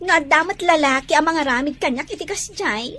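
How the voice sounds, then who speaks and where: A woman playing an excitable, nosy village relative in an Ilocano comedy drama. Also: high-pitched, moderate pace. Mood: gossipy amused.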